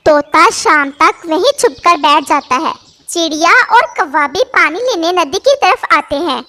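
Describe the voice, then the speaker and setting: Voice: very high voice, pushed and bright. A narrator reading a scripted moral story for children.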